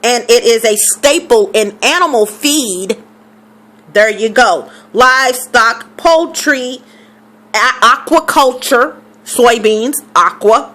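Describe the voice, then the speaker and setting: A woman with a somewhat high voice talks casually to her viewers, hammering home numbers by saying them again. Her throat sounds scratchy, as though she is losing her voice. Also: slow pace, a bit bright. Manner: emphatic, repeats figures for stress. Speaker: vlogger. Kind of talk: casual talk to camera.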